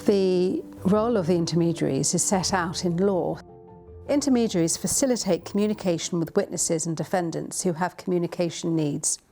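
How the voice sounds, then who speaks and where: A woman presenting a training film, delivering scripted information formally and deliberately. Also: fairly low pitch, mellow, faint music behind. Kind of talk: scripted narration.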